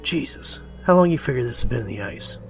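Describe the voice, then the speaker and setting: A man playing a gruff, wry, plain-spoken team leader at a polar outpost in a horror read-along drama. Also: mid-range voice, lively intonation, quick pace, a bit bright. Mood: amazed. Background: music.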